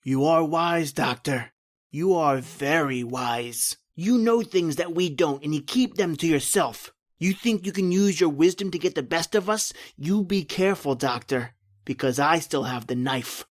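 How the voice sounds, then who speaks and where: An actor playing a hostile, suspicious castaway in an old-time radio horror drama, blunt and sneering. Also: male voice, a little high, expressive, unhurried. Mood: menacing.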